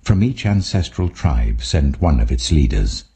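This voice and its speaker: A man voicing a stern, majestic God in scripture narration, grave, commanding and deliberate. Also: rumbling low, sing-song, dark tone, full character voice.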